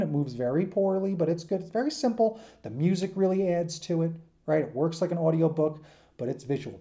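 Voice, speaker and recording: A man's voice: on the high side, dark tone. A man giving an informal lecture to students in an enthusiastic, emphatic, rambling conversational way, as their teacher.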